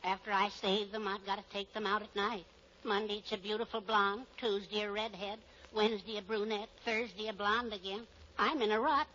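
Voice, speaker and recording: A bright, smug lifeguard. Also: male voice, high voice, fairly even intonation, brisk. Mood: smug, boastful. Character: cocky young male lifeguard, girl-crazy and smug. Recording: dull, faintly noisy old radio recording.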